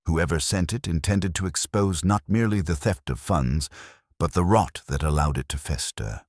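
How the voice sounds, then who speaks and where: A man voicing a brilliant, ponderous detective in an audiobook, speaking formally and deliberately, as if pronouncing judgement. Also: sits low, no echo, studio quality. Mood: grave, certain. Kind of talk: audiobook character dialogue.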